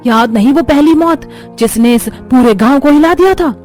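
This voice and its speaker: A woman's voice: sits high, moderate pace, darkish tone, natural voice. A woman voicing a dismayed, gossiping village woman in a cartoon story, lamenting with disapproval. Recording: music behind the voice.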